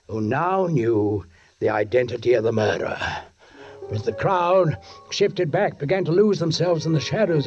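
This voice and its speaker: An actor playing a brooding, menacing British police sergeant in a 1940s radio suspense drama, speaking in deliberate, literary phrases. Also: male voice, a little high, darkish tone. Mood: grim, haunted. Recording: muffled 1940s radio recording.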